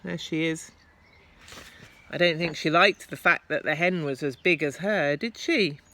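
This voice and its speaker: A woman teacher reading a children's story aloud expressively, with deliberate, dramatic emphasis. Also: deep voice, bright, forceful tone.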